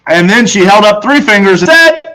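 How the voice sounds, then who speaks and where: A man's voice: sits high, very animated, a little fast, bright, forceful tone. A man reading aloud to children as a storyteller, in the manner of a teacher narrating a book. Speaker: audiobook reader, teacher.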